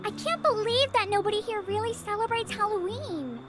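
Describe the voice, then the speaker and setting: A woman's voice: very high voice, a little fast. An actress playing a teasing, pointed young woman in an anime-style game. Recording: loud music over game audio.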